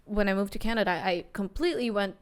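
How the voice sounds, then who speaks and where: A woman's voice: mid-range voice, fast talker. A woman in her twenties from the Philippines, chatting casually as a podcast co-host and often searching for words. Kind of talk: casual chat.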